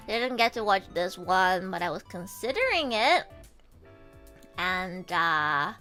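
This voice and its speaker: A woman streamer chatting casually with her viewers, animated and swearing freely, her throat a bit sore from a coming cold. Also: medium pitch. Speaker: VTuber streamer and voice actor. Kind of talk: casual livestream chat.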